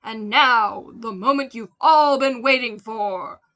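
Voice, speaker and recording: A woman voicing a showy circus ringmaster in an audiobook story, with a booming, drawn-out delivery. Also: pushed and bright. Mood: grand showmanship. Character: circus ringmaster announcing an act, loud and showy.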